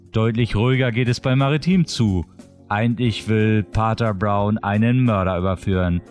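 Low-voiced male presenter over music. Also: darkish tone. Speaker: presenter of a radio drama release roundup. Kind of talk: scripted announcement narration.

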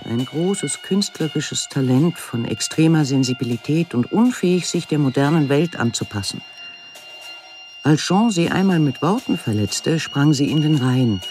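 A woman's voice: deep voice, animated, measured pace, music in the background. An actress playing an imaginative, haunted woman artist in a declamatory radio drama monologue. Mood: grave. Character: imaginative, haunted woman artist narrating her fears and dreams.

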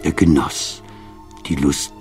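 A man's voice: very deep voice, fairly even intonation, slow pace, a little mellow, faint music behind. A man reading a literary story as scripted narration. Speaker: reader of literary prose.

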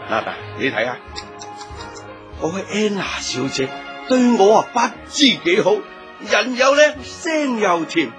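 Brash, bright-voiced crooked businessman. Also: high voice, very animated, measured pace, faint music behind, heavily acted. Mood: smug, boastful. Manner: scoffing, blustering. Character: brash, crooked, womanising businessman, touchy and hot-tempered. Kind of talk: Cantonese radio drama acting.

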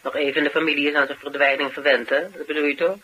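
A bright, higher-voiced probing questioner. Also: male voice, flat delivery, quick pace, slightly rough, low-quality recording. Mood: probing, thoughtful. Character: probing, attentive man questioning a tough informant. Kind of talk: naturalistic radio drama dialogue.

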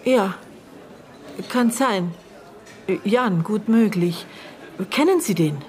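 An actress playing a middle-aged, bitter and guarded aunt in a naturalistic radio drama, speaking in terse, halting phrases as she searches her memory. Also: medium pitch, lively intonation, a little mellow. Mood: searching memory. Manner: terse, halting, broken phrases with thoughtful pauses.

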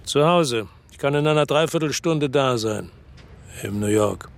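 An actor playing a fatalistic, resolute hard-boiled man who narrates his revenge tersely in a radio drama, with a gravelly voice. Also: mid-range voice, animated, a little slow. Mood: taunting, pressing. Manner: terse, brooding. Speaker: first-person narrator. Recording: music under the voice.

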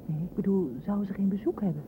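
A woman's voice: mid-range voice, quick pace, lightly acted. An actress playing an uneasy, doubting girlfriend in a radio play, hesitant and questioning. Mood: worried. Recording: muffled old radio play, noisy background.

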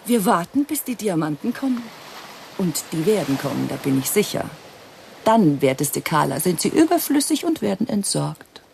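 A woman's voice: fairly low pitch, big pitch swings, normal speed, gravelly, a little mellow, a little hiss or hum. An actress playing a cold, greedy female villain in a radio play, giving orders with sardonic, mock-polite menace. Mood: smug, menacing.

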